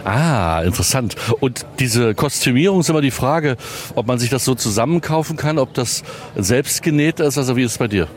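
A man's voice: mid-range voice, animated, a little fast, slight background noise. A middle-aged man from East Germany hosting a casual radio chat, steering it with questions. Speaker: radio host and interviewer.

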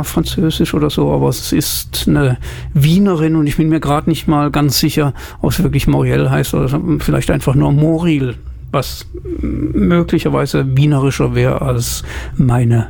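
A man's voice: fairly high pitch, creaky, darkish tone. Chatty male jazz radio presenter. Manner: chatty, thinking aloud with fillers. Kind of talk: casual spoken radio presentation.

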